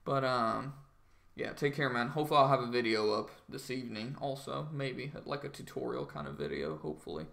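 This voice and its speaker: A man's voice: medium pitch, a little fast, gravelly, a bit bright. A young man with a groggy voice, chatting casually with viewers on a trading livestream, full of ums and likes. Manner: rambling, filler-heavy, says "like" and "um" constantly.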